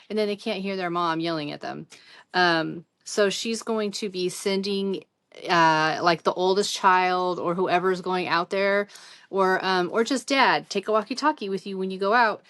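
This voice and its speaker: A woman's voice: fairly low pitch, a bit bright, no echo. A middle-aged woman giving a casual, chatty product review in a rambling, conversational, informal way.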